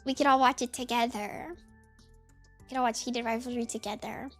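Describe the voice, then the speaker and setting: A woman's voice: on the high side, steady tone, normal speed, faint music behind. A woman streamer chatting casually in a rambling, giggly way, full of fillers. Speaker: VTuber streamer.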